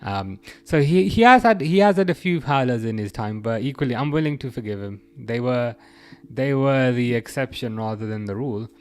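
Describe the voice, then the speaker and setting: A young man hosting a relaxed football livestream, reading out chat messages and rambling through his thoughts with frequent restarts. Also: mid-range voice, moderate pace, clean tone.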